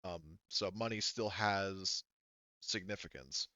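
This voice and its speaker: Low, smooth-voiced man running a game. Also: sharp tone, no echo, muffled recording. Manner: halting, explanatory, casually profane. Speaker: game master. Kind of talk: casual tabletop game explanation.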